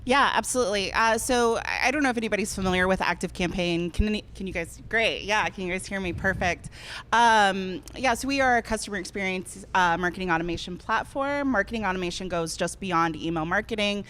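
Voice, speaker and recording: A woman's voice: mid-range voice, a little fast, fairly bright tone. A woman guest speaker talking casually and agreeably into a microphone at a live event. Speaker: guest speaker, customer success manager. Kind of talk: casual interview talk. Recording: noticeable background noise, live event microphone.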